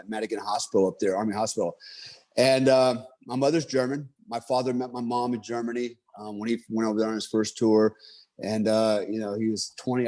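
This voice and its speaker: A man's voice: lowish voice, a little mellow. A man in his fifties from the United States, telling his own life story in a loose, casual monologue full of fillers and restarts. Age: 50s. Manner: rambling, many fillers and restarts.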